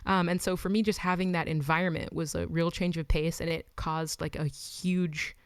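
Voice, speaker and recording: Young woman, smooth low-pitched voice. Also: fairly even intonation, moderate pace, dry recording, clean studio sound. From Los Angeles. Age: 20s. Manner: chatty, frequent "like" and "um", laughs between thoughts. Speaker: musician guest. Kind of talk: casual interview chat.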